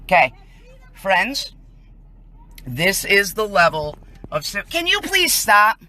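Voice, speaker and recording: Animated, indignant American woman, bright voice. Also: medium pitch, slow pace. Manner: indignant, emphatic, rhetorical. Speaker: citizen filming a protest from a vehicle. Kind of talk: live commentary to camera. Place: inside a vehicle on a residential street.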